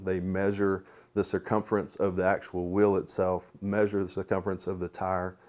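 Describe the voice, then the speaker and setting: A man's voice: low voice, darkish tone. A man giving an explanatory training talk as an instructor, in a plain-spoken, methodical, step-by-step way. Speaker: police sergeant and crash investigator, instructor.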